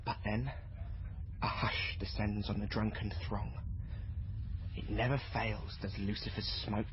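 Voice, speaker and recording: A man's voice: on the low side, little pitch movement, measured pace, noisy background, natural voice. An actor playing a red-haired young man who tells his family's story in a theatrical, clipped way for a radio drama. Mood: awed. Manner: theatrical, vivid, clipped phrases. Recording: dull lo-fi broadcast, loud music behind. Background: music.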